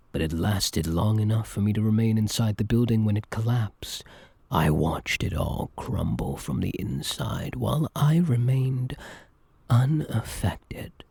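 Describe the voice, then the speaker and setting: A man playing an obsessive, menacing yandere supervillain in an intimate, theatrical ASMR roleplay, with a breathy, deliberate delivery. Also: lowish voice, darkish tone, dry recording, heavily acted.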